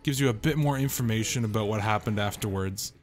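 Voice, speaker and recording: A man's voice: fairly low pitch, fast talker, faint music behind. A man giving casual voice-over commentary as the host of a video.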